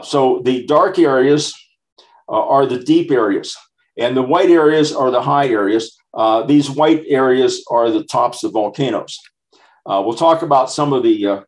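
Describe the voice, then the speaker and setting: An older man, in his sixties or beyond, with a mid-pitched voice, talks through slides as a lecturer. He explains things simply, in short phrases that stop and start. He sounds like he is presenting from a computer at home. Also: rough recording. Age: 60s or older.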